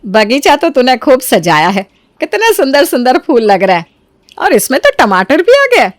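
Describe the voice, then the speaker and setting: A woman's voice: high voice, sing-song, normal speed, dry recording, studio quality, voice pushed up. A woman voicing an elderly, fond and plain-spoken mother in acted story dialogue. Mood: pleased, fond.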